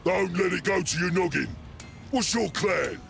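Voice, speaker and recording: A man playing a gruff, bossy ork squadron leader in a video game, with a growling, gravelly Cockney English voice. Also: sits high, expressive, moderate pace, a bit bright, faint music behind, heavily acted. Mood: stern, threatening. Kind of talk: video game character acting.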